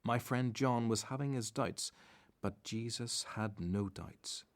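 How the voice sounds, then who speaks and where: A man's voice: mid-range voice, takes it slowly, lightly acted. An actor playing a reflective disciple who narrates his story in first person for a radio play.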